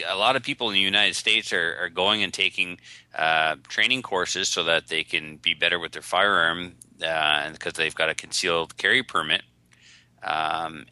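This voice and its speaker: A man's voice: low voice, bright, forceful tone, smooth, dry recording. A man chatting casually as co-host of a podcast. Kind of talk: casual chat.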